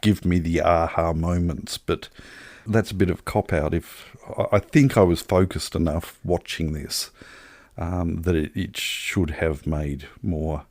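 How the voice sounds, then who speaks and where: A man's voice: sits low, steady tone, a little slow, a little mellow, no echo. An Australian man co-hosting a podcast, chatting casually about film in a thoughtful, searching way.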